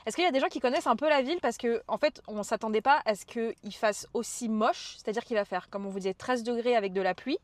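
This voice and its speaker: Casting: young woman streamer, slightly high-pitched, loud and chatty, informal slang. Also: fast, hardly any pauses, dry recording. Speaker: streamer, travel vlogger. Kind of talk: casual live chat with viewers.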